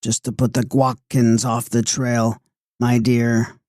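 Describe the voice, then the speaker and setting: A man's voice: medium pitch, monotone, takes it slowly, darkish tone, no echo, studio quality, natural voice. A man voicing a mysterious old lady guide who speaks in a repetitive sing-song. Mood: confiding.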